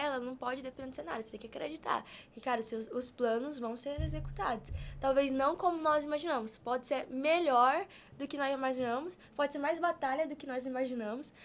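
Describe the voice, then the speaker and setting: A woman's voice: high voice, brisk, slight background noise. A Brazilian woman talking conversationally as a podcast guest, with an earnest, emphatic, colloquial manner about her. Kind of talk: conversational faith-based motivational talk.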